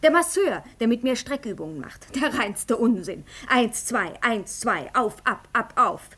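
A defiant, irritable young invalid in a radio play. The voice is high and very animated, brusque and bitter, rising and falling sharply. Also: female voice, a little slow, slightly rough, full character voice. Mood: bitter, mocking. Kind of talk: radio drama acting.

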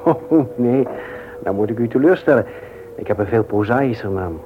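An actor playing a courteous, gently flirtatious single father in a radio play, polite, bantering and slightly hesitant. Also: medium pitch, lively intonation, moderate pace, close to an everyday voice. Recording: dull old radio recording with noise.

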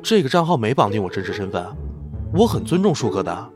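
A man's voice: lowish voice, fast, over music. A man acting an effusive, devoted young celebrity idol in a Chinese audio drama, boyishly clingy and gushing. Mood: earnest. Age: young adult. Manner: gushing, pleading, swinging from excited to earnest.

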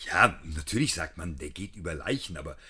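A man's voice: low-pitched, animated, brisk, pushed and bright, a little hiss or hum. An actor playing a defensive middle-aged politician and family man in a naturalistic radio drama, dismissive and exasperated. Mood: indignant. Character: defensive career politician, husband and father.

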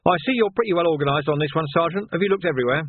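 A man playing a deadpan, sarcastic veteran police sergeant in an audiobook. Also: a little high, rapid-fire, breathy edge, no room sound, narrow, tinny audio, voice pushed up. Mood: wry. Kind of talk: audiobook character acting.

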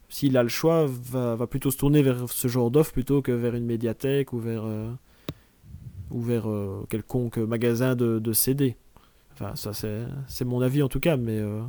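A man with a Belgian Liège accent talks through a casual tech chat, stumbling and restarting as he hunts for words. His voice is dark and mellow, recorded dry and close. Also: medium pitch, fairly even intonation, normal speed, no room sound. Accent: Liège, Belgian French. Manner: searches for words, restarts often.

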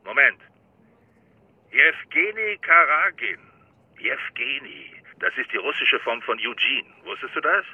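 An actor playing a chatty, teasing police lieutenant heard through a telephone filter. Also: male voice, mid-range voice, big pitch swings, measured pace. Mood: wry, relishing. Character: chatty, wry male police lieutenant, teasing old colleague. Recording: narrow, raspy telephone line.

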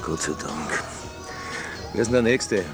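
An actor playing a jaded, flustered late-night radio host in a radio drama, hesitant and shifting between smooth patter and exasperation. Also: male voice, low-pitched, animated, a little slow. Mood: relieved, weary. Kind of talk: naturalistic radio drama acting. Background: music behind the voice.

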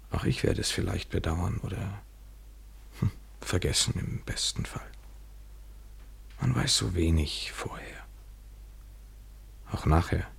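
An actor playing a coolly courteous, domineering and evasive lover in a 1970s German radio drama, with measured, deliberate phrasing. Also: male voice, low voice, gravelly, slightly rough, full character voice. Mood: cool, melancholy.

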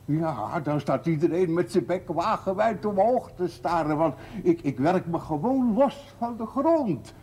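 An actor playing a gentle, childlike, simple man fond of birds, stammering and repeating words in a television drama. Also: high voice, animated, unhurried, few pauses, husky, heavily acted. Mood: dreamy, boastful. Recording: noisy old television soundtrack.

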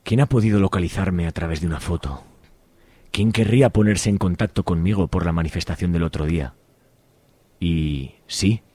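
A man's voice: sits low, moderate pace, mellow, dry recording. A man with an accent from Spain playing a young, easygoing activist in an amateur radio serial, colloquial and hesitant. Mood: musing. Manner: colloquial, hesitant, repeats words. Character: young male activist, easygoing, dismisses worries, curious.

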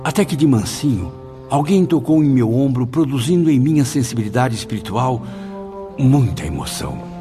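A man's voice: mid-range voice, moderate pace, music in the background, close to an everyday voice. An actor playing an earnest, courteous male spirit who recalls the afterlife in a radio drama. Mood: wistful. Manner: formal, courtly, expressive wording. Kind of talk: radio drama acting and narration.

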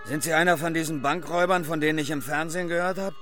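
An actor playing a middle-aged, embittered and self-loathing racetrack technician in a German radio crime drama, confiding in an edgy, rambling way. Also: male voice, fairly high pitch, fairly even intonation, quick pace, fairly bright tone, soft background music, lightly acted. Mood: nervous, probing.

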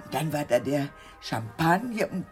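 An actress playing a scatterbrained, extravagant middle-aged aunt in a radio crime play, gushing theatrically in halting, sighing phrases. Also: female voice, low-pitched, big pitch swings, darkish tone, faint music behind. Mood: flustered, dazed.

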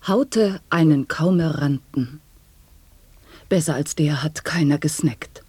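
A performer reciting experimental sound poetry in a studio radio play, very slow and quiet, sometimes whispering. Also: mid-range voice, slightly rough, no echo. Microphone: studio microphone.

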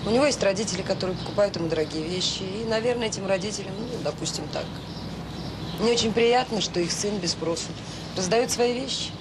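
An actress plays a middle-aged woman who runs a children's home. She is kind and patient, choosing her words gently and tactfully. It sounds like an old radio drama with clearly audible noise. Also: medium pitch, fast talker, lightly acted. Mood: gentle, careful. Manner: gentle, tactful, hesitant when explaining. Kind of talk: naturalistic radio drama acting.

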